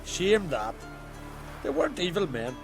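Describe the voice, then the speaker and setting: An actor playing a disgruntled, curt villager in a fantasy game, grumbling reproachfully. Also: male voice, sits high, sing-song, measured pace, music in the background.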